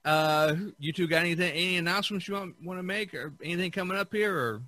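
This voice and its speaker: A man's voice: high voice, fast, pushed and bright, dry recording. A man hosting a podcast in a casual, rambling, folksy way. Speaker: podcast host. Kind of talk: casual chat.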